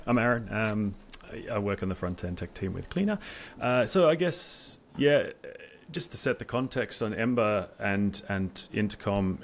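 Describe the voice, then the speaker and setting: Male engineer, middle-aged, gravelly voice, halting repeats, video-call interview with some background noise. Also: fairly low pitch. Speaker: staff software engineer, interview guest. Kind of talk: informal technical interview talk. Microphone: video call, wireless earbuds.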